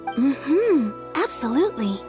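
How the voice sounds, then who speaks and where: A woman's voice: high voice, sing-song, slow, loud music behind the voice, heavily acted. A woman voicing a deferential kimono shopkeeper in a video game, polite, explanatory and businesslike. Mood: helpful.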